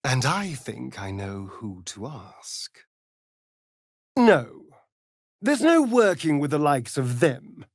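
An actor playing a sly, vain, sardonic vampire rogue in a video game, with an arch, theatrical drawl. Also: male voice, mid-range voice, sing-song, takes it slowly, dry recording, clean studio sound, heavily acted. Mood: sly, amused. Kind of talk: video game character voice lines.

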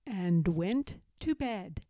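A woman reading a children's picture book aloud in a deliberate, expressive storytelling manner. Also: lowish voice, no room sound, studio quality. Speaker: storybook reader.

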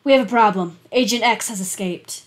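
A woman's voice: mid-range voice, a little fast, natural voice. A woman voicing an arrogant male rival agent in a storybook reading, in a taunting way. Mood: cold.

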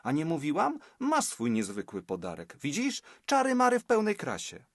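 A sarcastic, jittery sister from a storybook, voiced by a man, pitched well up and swinging widely. She scoffs and exclaims in a bossy, dramatic way, recorded very dry. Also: wildly swooping pitch, moderate pace, no echo, full character voice. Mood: agitated.

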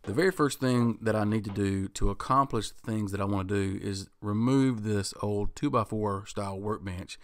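Low-voiced man chatting about his shop. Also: moderate pace, few pauses, no echo, studio quality. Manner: relaxed, thinking aloud with frequent "uh" and restarts. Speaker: woodworking channel host. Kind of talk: casual voice-over explaining a project.

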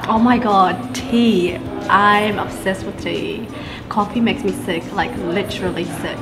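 A woman's voice: medium pitch, steady tone, unhurried. A young woman, answering interview questions in a café in a casual, playful way. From New Zealand. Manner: chatty and playful, laughs easily. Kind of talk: casual chat. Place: bright café by large windows. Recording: music playing under the talk.

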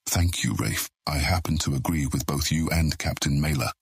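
A shrewd, commanding deputy of a thieves' guild. His booming voice is extremely low and heavily rasped. He speaks formally and deliberately in a dry studio, as in a fantasy audio drama. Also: no room sound, exaggerated voice. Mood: measured, grave. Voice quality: booming, husky. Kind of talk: full-cast fantasy audiobook acting.

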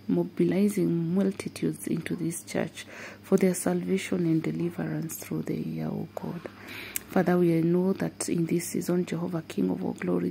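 A woman's voice: on the low side, creaky, dark tone, a little hiss or hum, poor audio. A woman leading an intercessory prayer, praying fervently and repeating short interjections between her petitions. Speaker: prayer leader.

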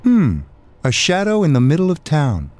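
Sly middle-aged game scientist, cracking puns, chuckling at his own jokes, wildly swooping pitch, fairly high voice. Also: wildly swooping pitch, moderate pace, a little mellow, no room sound. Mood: musing. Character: pun-loving middle-aged male scientist chairman, genial and scheming. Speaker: game character. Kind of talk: game cutscene voice acting.

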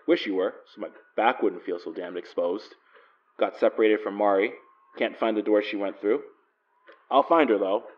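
A man's voice: fairly low pitch, normal speed, distant mic, natural voice. An actor playing a weary, sardonic male investigator, grumbling dryly in an audio drama monologue. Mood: uneasy, resolute. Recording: muffled, voice away from the mic.

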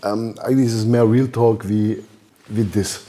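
A man's voice: fairly low pitch, fairly even intonation, normal speed, warm tone. A man in his thirties hosting a casual rap-scene chat on a sofa by a boxing ring, rambling and laughing often. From Albanian background. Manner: laid-back, rambling, laughs often, acts out quotes. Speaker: podcast host. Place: lounge by a boxing ring, indoors.

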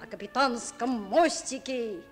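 An actress playing a dreamy, stubborn schoolboy in a Soviet radio play, with an eager, theatrical delivery. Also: female voice, on the high side, measured pace, music in the background, close to an everyday voice. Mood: dramatic storytelling. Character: dreamy, stubborn schoolboy narrating his sea adventure.

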